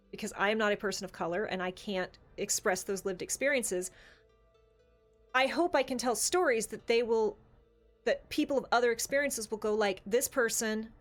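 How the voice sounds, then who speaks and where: A woman's voice: medium pitch, a bit bright. An American woman speaking emphatically and casually, sharing opinions as a podcast co-host. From Bible Belt, United States.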